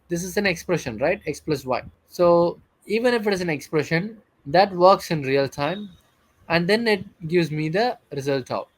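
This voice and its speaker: Man, fairly high voice, programming instructor, explanatory, self-questioning, says "okay" a lot. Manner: explanatory, asks and answers his own questions; frequent "okay" and "right" tags. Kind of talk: screen-share coding lesson.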